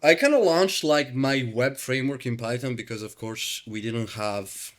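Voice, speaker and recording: A man's voice: medium pitch, moderate pace. A man in his thirties from Italy, speaking with an Italian accent as host of a casual podcast chat, talking loosely with many fillers and restarts.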